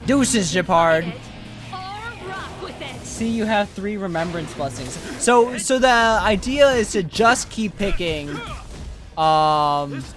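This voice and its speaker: A game streamer doing casual, excitable live commentary in slangy talk peppered with bro and dude. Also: mid-range voice, slow, pauses often, over music.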